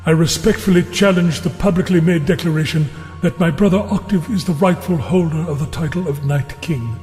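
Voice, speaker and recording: A fairly high-voiced man acting as a deferential royal herald. He declaims formally and with measure, as in an audio drama, in a reverberant hall over music. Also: warm tone, lightly acted.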